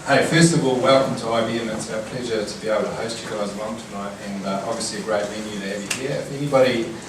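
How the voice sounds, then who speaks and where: Low, flat-toned New man, echoey room. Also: fast talker. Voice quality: loud, projecting voice. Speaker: company general manager welcoming guests. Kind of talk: informal corporate welcome talk. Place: indoor event venue in an office building. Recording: echoey room, distant mic, noisy.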